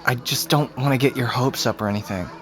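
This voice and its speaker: A man's voice: mid-range voice, fast, lightly acted. An American man playing a reluctant, caring, sardonic teenage boy in an audio drama, casual and understated. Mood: cautious, gentle. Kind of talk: naturalistic audio drama dialogue.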